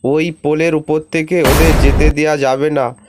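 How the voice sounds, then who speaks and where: A man's voice: fairly high pitch, flat delivery, moderate pace, very noisy, natural voice. A man voicing indignant, hostile villagers in a defiant way. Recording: loud music bed under the voice.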